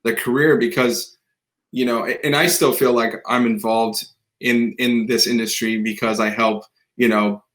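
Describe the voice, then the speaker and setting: A man's voice: medium pitch, steady tone, normal speed, a bit bright. A man hosting a podcast interview, talking in a loose, rambling way with many restarts. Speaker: podcast host. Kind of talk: conversational interview.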